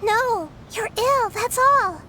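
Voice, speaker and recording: A woman voicing a gentle, earnest young goddess of wisdom in a video game, with a calm, caring, measured manner. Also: very high voice, a little hiss or hum, exaggerated voice. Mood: earnest pleading. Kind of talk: scripted video game voice acting.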